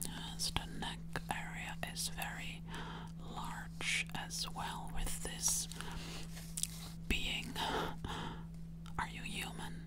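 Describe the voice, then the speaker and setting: A woman's voice: extremely slow, long pauses, poor audio. A young woman voicing a sassy, bossy alien girl in an ASMR roleplay, whispering breathily up close and repeating short phrases insistently. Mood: bossy, probing. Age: young adult. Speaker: ASMR performer. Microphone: close to the mouth.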